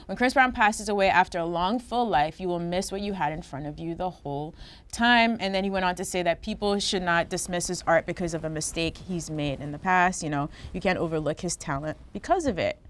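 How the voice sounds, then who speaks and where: American woman moderating casually. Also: lowish voice. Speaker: talk show host and moderator. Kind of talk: casual moderating, reading out news.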